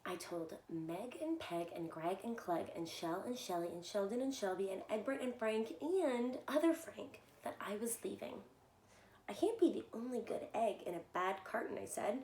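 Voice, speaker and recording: A woman reading a picture book aloud to young children in an animated, expressive way, often talking directly to them. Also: mid-range voice, moderate pace. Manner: animated, addresses listeners with simple questions. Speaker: children's story reader and soccer coach. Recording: echoey room, faint background noise.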